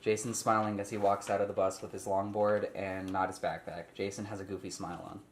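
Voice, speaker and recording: A man's voice: lowish voice, level tone, fairly quick. A man leading a casual table read, reading out stage directions and explaining informally. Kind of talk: casual script read-through with asides. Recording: echoey room with faint noise.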